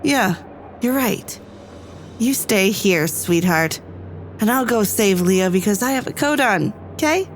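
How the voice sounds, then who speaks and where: A woman's voice: mid-range voice, animated, unhurried, slight background noise, lightly acted. A woman playing an anxious, headstrong academic on a space crew in an audio drama, sweary and argumentative. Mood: urgent.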